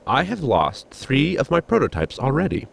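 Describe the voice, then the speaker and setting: A man's voice: medium pitch, wildly swooping pitch, moderate pace, vocal fry, slightly rough, full character voice. An actor playing a formal, fussy inventor crewman with stiff, precise diction in a sci-fi audio comedy. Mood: earnest. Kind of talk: audio sitcom acting.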